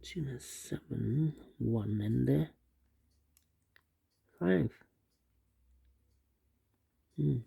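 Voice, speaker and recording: A man's voice: medium pitch, very slow, vocal fry, pauses often, warm tone, slightly rough. A man explaining a sudoku step by step, thinking aloud and repeating the numbers to himself. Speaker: sudoku instructor. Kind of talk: thinking aloud while solving a puzzle.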